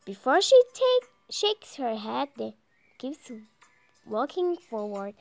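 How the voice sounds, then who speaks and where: A young woman reading visual novel text aloud in a halting way, stumbling over words. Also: on the high side, big pitch swings, creaky. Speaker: gameplay video creator reading aloud. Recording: music behind the voice.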